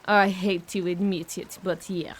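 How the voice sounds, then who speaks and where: A woman's voice: lowish voice, little pitch movement, measured pace, breathy edge, low-quality recording. A young woman acting a blunt, resentful au pair in an audio horror drama. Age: young adult. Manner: blunt, complaining.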